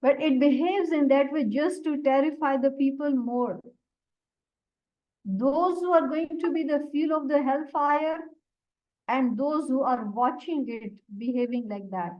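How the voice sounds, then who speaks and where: Woman, Urdu first language, Quran teacher, high voice, dull lo-fi recording. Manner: deliberate, explains Arabic words one by one. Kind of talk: slow explanatory religious lesson.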